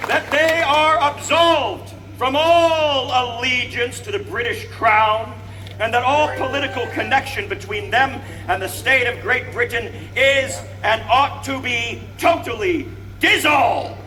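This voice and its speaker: An actor playing an eighteenth-century American statesman, a solemn and fervent orator declaiming grandly and deliberately to the public in a historical reenactment. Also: extremely high pitch, over music. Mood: fervent, resolute. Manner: grand, emphatic, deliberate phrasing projected to a crowd. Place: outdoors before a crowd, speaking from a balcony. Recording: outdoor crowd recording from a distance, noisy.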